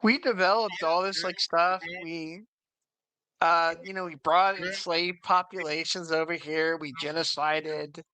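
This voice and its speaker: Casual American male podcast talker, crisp forward tone in a dry space, slightly high, opinionated and halting. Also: fairly even intonation, sharp tone, no echo, poor audio.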